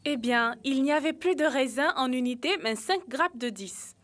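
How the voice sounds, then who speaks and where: High-voiced earnest young cartoon animal. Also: female voice, moderate pace, fairly bright tone. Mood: explaining. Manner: explanatory, step-by-step. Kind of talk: French educational children's cartoon dialogue.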